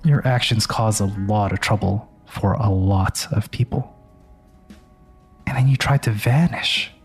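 A man playing a haughty, menacing emissary of a crime family in audio fiction, condescending, sardonic and deliberate. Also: on the low side. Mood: cold menace. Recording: music under the voice. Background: music.

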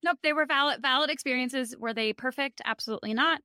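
A woman's voice: sits high, quick pace, pushed and bright, clean tone, no echo, studio quality. A young woman co-hosting a casual TV recap chat, telling anecdotes emphatically in very informal speech. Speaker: podcast co-host.

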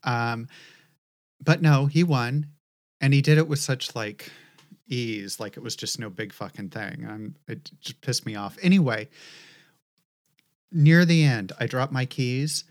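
A woman's voice: very deep voice, lively intonation, a little slow, long pauses, a little mellow. Co-host, middle-aged woman, casual storyteller who swears freely and rambles. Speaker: podcast co-host.

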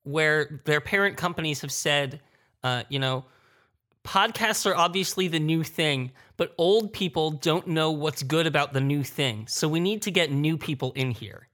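A man with a bright, fairly high voice talks as a panelist. His delivery is informal and conversational, suited to a roundtable discussion. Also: normal speed.

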